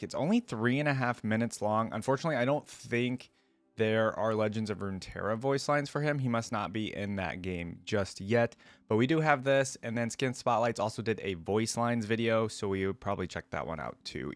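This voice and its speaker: A man's voice: lowish voice, no room sound. A man hosting a reaction video with casual, off-the-cuff commentary.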